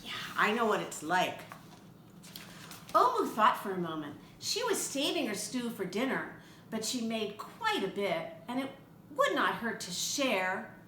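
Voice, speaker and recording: Older lady reading a kids book, bright voice, swoopy and chatty, bit echoey. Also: fairly high pitch, unhurried. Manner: expressive, child-directed, chatty. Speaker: read-aloud storyteller for children. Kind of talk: animated children's picture book reading with chatty asides. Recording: echoey room with faint background noise.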